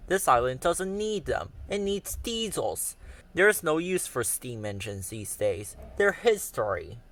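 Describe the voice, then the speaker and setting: A man's voice: medium pitch, big pitch swings, measured pace, a bit bright. A man voicing a menacing male diesel engine villain that growls and sneers.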